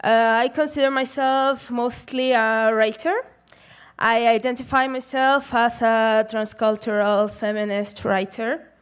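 A young woman from Chile, giving a prepared conference talk from a stage in a measured, deliberate way. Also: on the high side, level tone, clean tone. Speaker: conference speaker.

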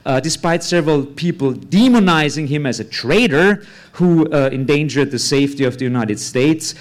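A man's voice: a little high, animated, moderate pace. A man with an Austrian German accent giving a live talk on stage, blunt, with lots of filler sounds and the odd curse word. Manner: hesitant, many uh fillers, blunt with curse words. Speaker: conference speaker. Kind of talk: live talk without slides.

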